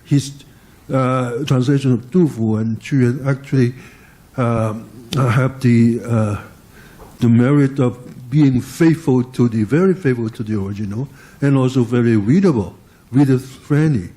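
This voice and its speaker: An older man speaks up from the audience with a long question. He pauses and hunts for each word, and his voice stays warm and mellow throughout. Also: mid-range voice. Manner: halting, searching for words. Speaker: audience member asking a question. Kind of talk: question from the floor.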